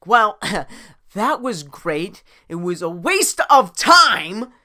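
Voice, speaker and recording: A man's voice: sits high, very animated, drawn-out words, sharp tone, voice pushed up, full character voice. A man voicing a small winged adventure hero in a story, emphatic, urgent and determined. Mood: exasperated, then hopeful.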